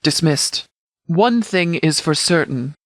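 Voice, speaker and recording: Game role: stern male military commander, formal and measured, slightly high voice, dry sound. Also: expressive, fairly bright tone, no echo, full character voice. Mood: grim, resolute. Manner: formal, measured, authoritative diction. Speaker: video game character voice. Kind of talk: scripted game dialogue, mission briefing.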